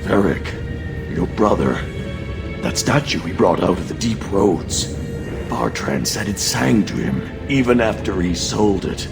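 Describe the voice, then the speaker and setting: A deep, gravelly frightened servant. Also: male voice, full character voice. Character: frightened, relieved servant hiding in a maddened house. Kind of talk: fantasy video game voice acting. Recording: loud game music behind the voice.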